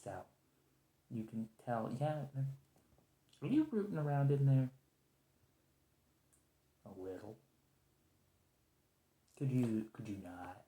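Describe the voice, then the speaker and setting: A man acting a stressed, weary captive special agent in an ASMR roleplay, rambling, halting and apologetic as he pleads, in a roomy recording. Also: medium pitch, lively intonation, warm tone. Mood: strained, pleading. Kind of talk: ASMR roleplay monologue, improvised conversational acting.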